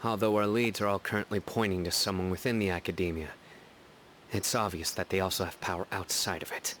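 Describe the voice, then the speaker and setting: An actor playing a stern, composed young investigator in a video game, measured and serious. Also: male voice, low voice, steady tone, a bit bright, slight background noise. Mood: grave, determined. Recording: game music behind.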